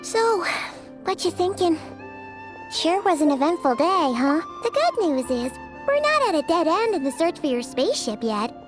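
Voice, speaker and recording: A tiny, chirpy fairy companion from a fantasy game. The voice is extremely high and childlike, with animated, bouncy intonation and casual chatter. Music is clearly heard behind it. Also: female voice, moderate pace. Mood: casual, upbeat. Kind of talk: video game voice acting.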